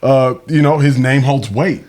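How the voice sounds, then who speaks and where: A man's voice: mid-range voice, a little slow. Laid-back man in his thirties, street talk. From Milwaukee, Wisconsin. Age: 30s. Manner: laid-back street slang, animated bursts. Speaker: podcast guest. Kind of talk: casual conversation.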